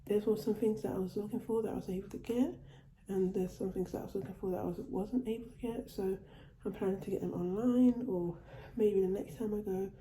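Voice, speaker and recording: Dark-voiced woman chatting in a haul vlog. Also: medium pitch, steady tone, a little fast, few pauses, roomy sound, a little hiss or hum, rough recording. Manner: chatty, repeats and restarts phrases. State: recovering from a cold. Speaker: vlogger. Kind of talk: casual haul video. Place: at home, indoors.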